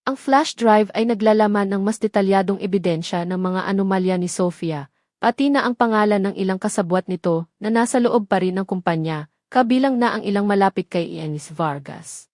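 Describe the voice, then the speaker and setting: A woman's voice: medium pitch, fairly quick, darkish tone, dry recording, clean studio sound. A woman narrator reading a scripted dramatic story.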